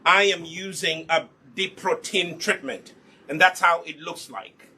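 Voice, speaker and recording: A man's voice: fairly high pitch, a little slow, pushed and bright, slight background noise. A man from Uganda, patiently demonstrating hair care in a live online lesson and answering his own questions as he goes. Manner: patient and instructive, asks rhetorical questions then answers them. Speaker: hair care instructor and product maker.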